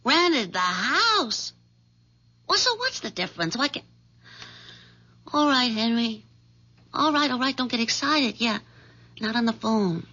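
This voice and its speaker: A woman's voice: fairly high pitch, big pitch swings, measured pace, pauses often, sharp tone, exaggerated voice. An actress playing a restless, wry American woman, a lover and accomplice in an old-time radio crime drama. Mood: impatient, soothing. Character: restless female accomplice and lover, sardonic, complaining. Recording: dull lo-fi radio recording.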